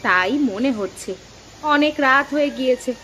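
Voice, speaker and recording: A woman voicing a practical, gently reassuring village wife and mother in a cartoon. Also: high-pitched, lively intonation, normal speed, slight background noise. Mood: practical, gentle. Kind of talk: Bengali moral story cartoon dubbing.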